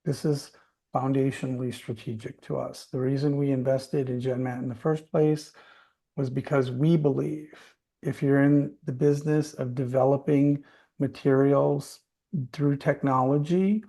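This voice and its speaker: A man's voice: mid-range voice, little pitch movement, a little slow, gravelly, mellow. A man speaking as a company executive, answering questions off the cuff on an earnings call and repeating phrases for emphasis.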